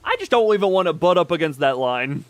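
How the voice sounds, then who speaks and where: Male game streamer, informal and halting, very animated pitch. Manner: halting, informal, mildly sweary. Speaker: live streamer. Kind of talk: casual game-stream commentary, reading dialogue aloud.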